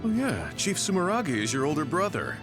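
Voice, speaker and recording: Animated, wary male anime squad member. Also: on the high side, brisk, music in the background. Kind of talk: English-dubbed anime game acting.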